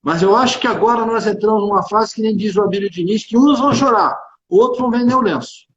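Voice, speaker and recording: A man with a high voice and a Carioca accent talks business on a livestream. He is emphatic, colloquial and opinionated, doubling back on phrases. The sound is echoey and dull. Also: fast, a bit bright. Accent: Carioca Brazilian Portuguese. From Rio de Janeiro, Brazil. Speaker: tax consultant and executive speaking on a livestream. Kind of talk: informal live business discussion. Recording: echoey room, dull band-limited livestream audio.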